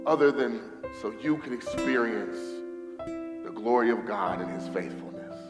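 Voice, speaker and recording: A hoarse man preaching a sermon as a pastor, with stretches of quieter, hushed prayer into a stage microphone. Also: a little high. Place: church hall. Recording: echoey hall with faint guitar music.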